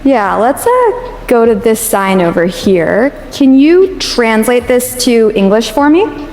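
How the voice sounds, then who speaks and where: A woman's voice: fairly high pitch, big pitch swings, takes it slowly, darkish tone. A woman giving a conversational live product demonstration on stage. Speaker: product demo presenter. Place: stage before an audience. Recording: echoey hall with reverb, faint music.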